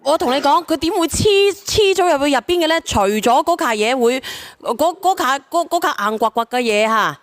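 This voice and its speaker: A woman's voice: high voice, expressive, normal speed. A woman hosting a live call-in, blunt and coarse-mouthed, often repeating words as she goes. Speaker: live call-in show host. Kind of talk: casual unscripted call-in talk.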